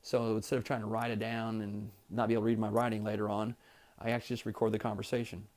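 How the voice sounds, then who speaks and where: A man's voice: lowish voice, level tone, fast, darkish tone. Man walking through a training tutorial. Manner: plain-spoken, step-by-step explaining. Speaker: trainer demonstrating office phone features. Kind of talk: instructional product tutorial, demonstrated live.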